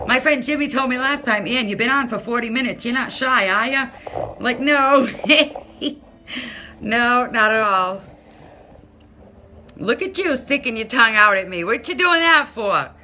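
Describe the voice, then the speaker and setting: A middle-aged woman from Massachusetts with a non-rhotic local accent, chatting casually on a phone live stream from her home, loud and talking to viewers by name. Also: fairly high pitch, expressive, measured pace, slight background noise. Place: home, by a window. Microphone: phone held at arm's length.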